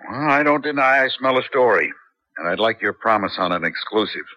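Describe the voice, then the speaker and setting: Shrewd american planner, deep hard-edged voice, earnest, measured, old radio drama. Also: male voice, expressive, bright, forceful tone, no echo. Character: shrewd, determined American man plotting a risky rescue. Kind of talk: 1970s radio drama acting. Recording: dull vintage radio broadcast.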